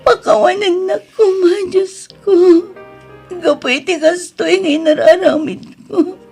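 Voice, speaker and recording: A woman's voice: extremely high pitch, slow, a little mellow, soft background music, exaggerated voice. A woman with an Ilocano accent playing a guilty, pleading wife in a radio drama. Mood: tearful, pleading.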